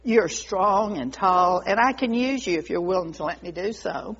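A woman voicing a firm, insistent male garden master in a storybook tale, with a deliberate manner. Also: medium pitch, fairly bright tone, husky. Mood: coaxing. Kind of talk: storybook character voice. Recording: dull lo-fi recording.

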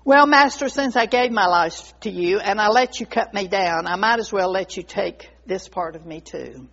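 A woman's voice: medium pitch, animated, normal speed, a bit bright, long reverb tail. A woman voicing a proud, devoted bamboo tree in a storybook tale, speaking in an earnest, dramatic way. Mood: resigned. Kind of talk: storybook character voice. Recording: dull lo-fi recording.

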